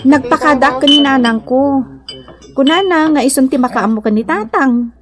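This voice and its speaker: A woman's voice: high voice, moderate pace, close to an everyday voice. A woman with an Ilocano accent playing a reassuring young teacher in love in a radio drama. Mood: chatty.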